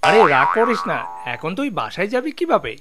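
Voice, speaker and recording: A man voicing an excitable, teasing young man in a cartoon, animated and nagging. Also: sits high, fairly quick. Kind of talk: cartoon voice acting.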